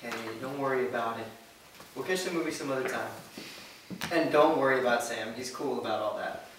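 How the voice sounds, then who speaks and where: A man playing a relaxed, confident teenage boyfriend in a staged script reading. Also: medium pitch, fairly quick, lightly acted. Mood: reassuring. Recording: very echoey room, distant mic, some noise.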